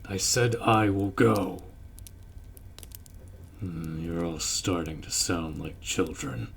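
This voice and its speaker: A stern orc warrior acted by a man speaks in a very low voice at a slow, weighty pace. He is formal and commanding, an honorable protector from a fantasy audio drama. Some ambient noise sits behind him. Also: vocal fry, heavily acted. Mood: solemn, resolute. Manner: formal, commanding, measured delivery. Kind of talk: scripted fantasy audio roleplay acting.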